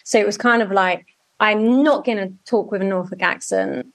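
A woman's voice: medium pitch, moderate pace. Chatty woman with a mid-range voice. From Norwich, England. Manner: chatty, self-correcting, animated storytelling. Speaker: guest, music media professional. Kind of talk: casual interview over a video call. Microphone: video call.

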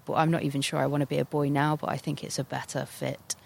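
Earnest young voice with a gravelly edge. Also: mid-range voice, flat delivery, quick pace. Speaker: interviewee. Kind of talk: personal account.

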